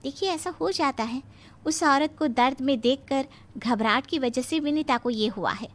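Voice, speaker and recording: A woman's voice: sits high, normal speed, close to an everyday voice. A woman voicing an explanatory, reassuring hospital doctor in a cartoon moral story. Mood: explaining.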